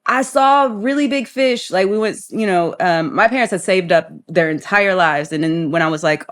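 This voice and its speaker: A woman's voice: lowish voice, normal speed. A young woman from Florida, a singer guest, talking in a chatty, rambling way and laughing often. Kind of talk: casual interview chat.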